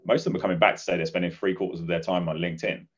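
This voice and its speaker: A middle-aged man answering as a podcast guest, explaining things in a measured, conversational way. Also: low-pitched, fairly even intonation. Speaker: guest, recruitment business advisor. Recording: dull, muffled lo-fi audio.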